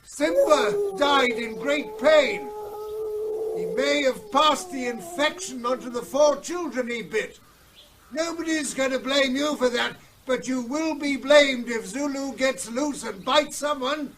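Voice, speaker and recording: A man's voice: extremely high pitch, expressive, slow pace, fairly bright tone, heavily acted, poor audio. An actor playing an earnest, persuasive man, a concerned friend, in a naturalistic radio drama. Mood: urgent, pleading. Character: earnest, persistent male friend of a gunman, pleading calmly.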